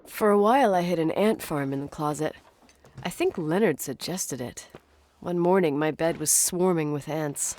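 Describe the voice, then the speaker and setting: A woman's voice: sits low, animated, moderate pace, a little mellow. An actress playing a guarded, dry-witted young woman in a naturalistic video game performance. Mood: dry, weary.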